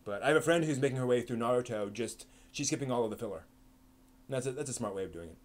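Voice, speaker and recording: A man hosting a casual live stream who thinks aloud and rambles, with many pauses, ums and restarts. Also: mid-range voice, lively intonation. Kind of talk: casual live-stream chat answering chat questions.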